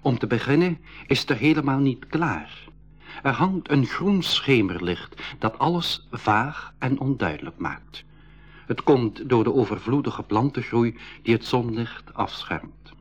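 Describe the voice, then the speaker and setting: An actor narrating a radio play for schoolchildren as a man recounting his jungle adventure, with a husky voice and descriptive delivery. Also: mid-range voice, expressive, unhurried. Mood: grave storytelling. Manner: descriptive storyteller addressing young listeners. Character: male expedition member recounting a jungle adventure. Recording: dull 1980s radio recording.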